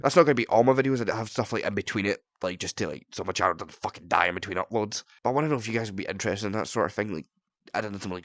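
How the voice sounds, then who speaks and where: A young man from Scotland with a partly softened Scottish accent, sick and a bit raspy, ranting casually about a game, swearing often and filling with "like". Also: lowish voice, dry recording. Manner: swears often, heavy filler "like", rambling. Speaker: gaming YouTuber.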